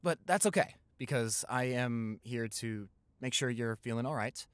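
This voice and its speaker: A man's voice: medium pitch, normal speed, a bit bright, smooth, dry recording, natural voice. A young American man playing a playful, caring college-age boyfriend in improvised roleplay audio, teasing and reassuring with hesitant stammers. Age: young adult.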